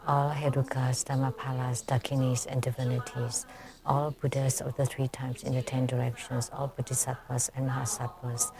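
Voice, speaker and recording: Woman emcee, very low and monotone, steady liturgical delivery over a large gathering. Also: gravelly, crowd noise. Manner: measured, steady liturgical recital. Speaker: ceremony emcee. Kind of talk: leading a ritual recitation. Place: temple hall. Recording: large gathering audible behind.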